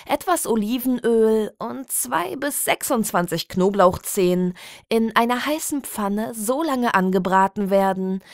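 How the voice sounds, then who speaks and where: A woman reading a scripted satirical story as an official public notice, in a formal, measured, deadpan bureaucratic manner. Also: mid-range voice, dry recording, clean studio sound. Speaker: narrator of a satirical audio story.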